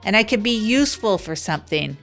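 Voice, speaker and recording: A woman's voice: mid-range voice, lively intonation, brisk, fairly bright tone, faint music behind. A woman playing a fictional small-town radio host, rambling through a self-deprecating improvised comedy monologue. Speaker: radio show host character.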